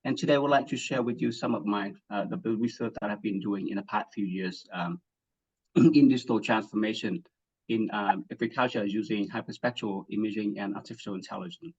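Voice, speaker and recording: A man from Vietnam giving an academic webinar talk, halting with many fillers and restarts and frequent throat clearing. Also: on the low side, a little mellow, lo-fi sound. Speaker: guest speaker, assistant professor.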